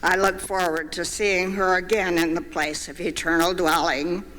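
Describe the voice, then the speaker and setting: An older man giving a measured memorial tribute, reading prepared remarks in a church. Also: high-pitched, little pitch movement, bright, forceful tone. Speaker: eulogy speaker. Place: church sanctuary. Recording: long church hall reverb.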